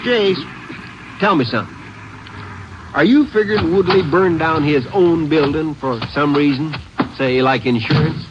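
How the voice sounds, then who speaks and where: An American actor playing a folksy, gossipy small-town Texas sheriff in an old-time radio drama, easygoing and colloquial. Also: male voice, on the high side, a little slow, long pauses, close to an everyday voice. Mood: chatty, curious. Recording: muffled, noisy old radio broadcast.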